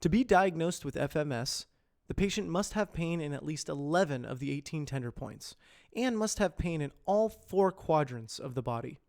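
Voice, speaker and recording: A man reading scripted informational narration in a measured, carefully enunciated presenter delivery, like an educational voice-over. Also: on the high side, lively intonation, vocal fry, a little mellow. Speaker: amateur voice actor practising reads.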